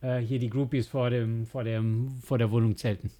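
A man's voice: medium pitch, level tone, moderate pace, dark tone. A man co-hosting a podcast, bantering casually in a tongue-in-cheek way. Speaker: podcast co-host.

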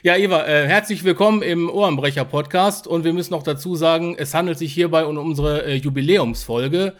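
A man's voice: a little high, fairly quick. A man co-hosting a podcast, asking questions in a casual, conversational interview style.

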